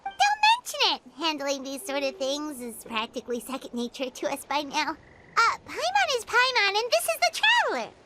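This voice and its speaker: A woman's voice: wildly swooping pitch, normal speed, very noisy. A woman voicing a small, chirpy, childlike fairy girl companion in an anime video game, chatty and eager. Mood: cheerful, eager. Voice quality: squeaky, childlike. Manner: refers to herself in third person, exclaims brightly. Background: game music.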